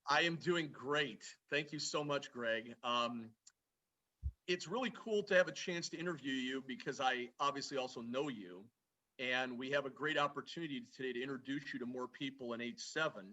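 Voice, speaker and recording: A man leads a formal video interview in a polished, measured way. His tone is bright and forward, at a middle pitch. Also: rough recording.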